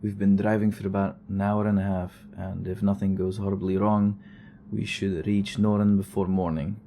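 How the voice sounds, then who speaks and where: A man's voice: fairly low pitch, flat delivery, moderate pace, dark tone, slight background noise, close to an everyday voice. An actor playing a weary, wry male investigator who records a conversational log in an audio drama, with a dry, sardonic, rambling manner.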